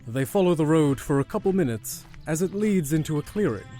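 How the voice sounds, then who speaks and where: A man's voice: on the high side, expressive, fairly quick, dark tone, faint music behind. A man narrating a scripted fantasy audio drama as its storyteller.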